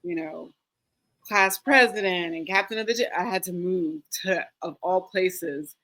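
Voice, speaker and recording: A woman's voice: on the low side, a little slow, fairly bright tone. A woman with an American accent talking casually as an interview guest, informal and easygoing as she reminisces. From United States. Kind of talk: casual chat, personal recollection.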